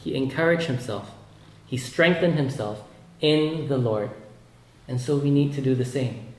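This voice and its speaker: A man's voice: on the high side, a little mellow, reverberant, low-quality recording. A man from Malaysia preaching a sermon, earnest and often repeating words as he builds his points.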